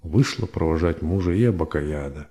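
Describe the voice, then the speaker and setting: An actor playing a grandfather who narrates history as an unhurried storyteller in an audio drama. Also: extremely low pitch, warm tone. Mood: reflective.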